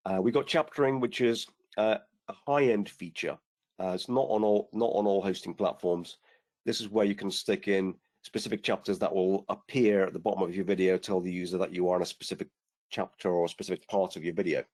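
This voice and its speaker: Hoarse man giving a software demo. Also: low-pitched, fairly quick. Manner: relaxed explaining, many fillers and restarts. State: hoarse, losing his voice. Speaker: product demonstrator on a webinar. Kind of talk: screen-share software demo.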